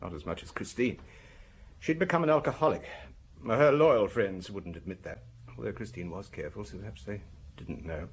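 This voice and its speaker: An actor playing a weary, defensive husband under police questioning in a radio drama, speaking hesitantly and explaining himself in broken sentences. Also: male voice, lowish voice, lively intonation, moderate pace, slight background noise. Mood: guarded.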